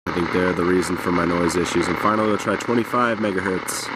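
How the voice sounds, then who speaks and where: A man's voice: low voice, quick pace, very noisy. A man doing casual, off-the-cuff commentary as a shortwave radio hobbyist, recorded outdoors in a backyard. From United States.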